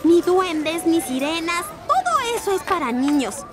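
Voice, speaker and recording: Excitable, very high-pitched cartoon girl. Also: measured pace, crowd noise, full character voice. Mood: amused. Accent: Latin American Spanish. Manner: bubbly, exclaiming. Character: excitable, chatty local girl, a young sorceress. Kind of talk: animated cartoon acting. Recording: music behind the voice.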